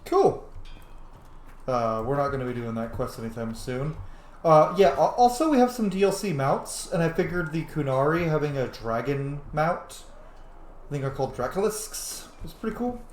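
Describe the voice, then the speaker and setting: A man's voice: a little high, lively intonation, normal speed. Guy narrating his playthrough, informal and chatty, echoey room sound, bit of noise. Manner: informal, rambling asides. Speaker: host of a gaming series. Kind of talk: casual let's-play commentary.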